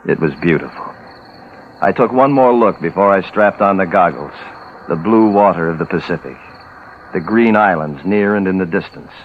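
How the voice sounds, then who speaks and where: Low, haunted radio-drama serviceman. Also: animated, moderate pace, slight background noise. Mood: grave, foreboding. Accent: American. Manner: terse, grave, brooding. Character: somber serviceman remembering a bomb test, haunted. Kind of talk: 1950s radio drama narration. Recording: tinny narrow-band old radio recording.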